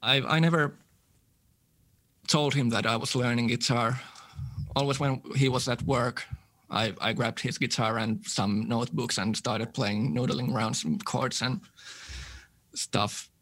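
A man's voice: medium pitch, measured pace. Man, mid-range voice, easygoing guest who chuckles between answers. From Finland. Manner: relaxed, laughs easily, detailed gear talk. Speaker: guest musician. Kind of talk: casual interview talk.

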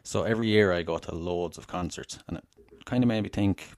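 An Irish man, a podcast guest, talking casually and telling a local legend in a relaxed way. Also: deep voice, a little fast, no echo, clean studio sound. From Ireland (Wexford). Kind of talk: casual interview storytelling.

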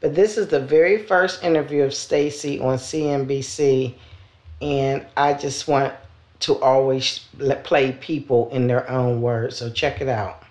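A woman hosting her own podcast, talking in a loud, chatty way while trying to keep her voice down. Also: a bass voice, steady tone, unhurried, reverberant. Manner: loud, chatty, trying to speak more softly. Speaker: podcast host. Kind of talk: casual podcast talk.

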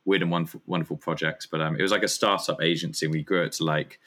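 A man in his thirties with a very deep, low voice talks about his work in a relaxed interview. He rambles loosely and fills his pauses with ums and uhs. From Germany. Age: 30s. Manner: rambling, hesitant with many fillers. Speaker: guest, community founder. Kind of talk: casual interview chat.

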